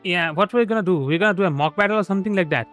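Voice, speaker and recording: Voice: mid-range voice, rapid-fire, fairly bright tone. A streamer giving casual, off-the-cuff commentary while playing a video game. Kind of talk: live gaming commentary, casual chat. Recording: music behind the voice.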